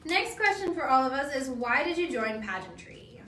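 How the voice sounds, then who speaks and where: A young woman in her twenties from the Philippines and Australia, chatting upbeat and relaxed as a co-host of a live stream. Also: high-pitched, moderate pace, slight background noise. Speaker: pageant titleholder co-hosting a live stream. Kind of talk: casual chat. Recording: echoey room, mic at a distance.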